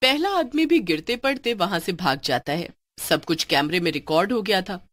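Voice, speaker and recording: Lively woman storybook narrator. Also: fairly low pitch, moderate pace, no echo.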